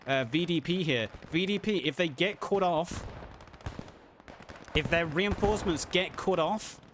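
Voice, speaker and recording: A man casting a live game match, play-by-play with fillers, a little hoarse as he is losing his voice. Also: on the high side, a little slow, slight background noise. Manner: excited play-by-play with frequent uh fillers. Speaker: esports caster. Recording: audible game gunfire and artillery behind. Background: game gunfire and artillery sounds.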